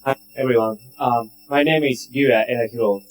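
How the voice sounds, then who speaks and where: A man from Japan, a respiratory physician, introducing himself in halting, short phrases. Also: mid-range voice, steady tone, faint music behind, rough recording. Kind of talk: conference self-introduction.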